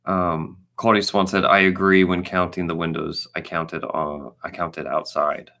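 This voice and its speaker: A man in his thirties co-hosting a casual live question-and-answer chat, talking in an animated, emphatic, informal way. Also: deep voice, measured pace, creaky. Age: 30s. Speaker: co-host of a trade channel.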